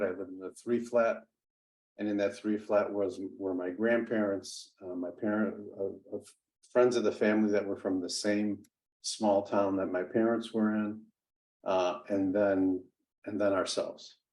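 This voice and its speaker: A man in his sixties whose first language is Italian, telling his life story as an interview guest in a halting way, with many restarts and fillers. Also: fairly low pitch, fairly even intonation, a little mellow, poor audio. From United States. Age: 60s. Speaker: interview guest, business executive. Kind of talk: conversational storytelling.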